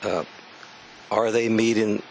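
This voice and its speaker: A man from the audience, asking a panel a question in a deliberate, halting way. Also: on the low side, level tone, darkish tone, a little hiss or hum. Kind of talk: question from the floor.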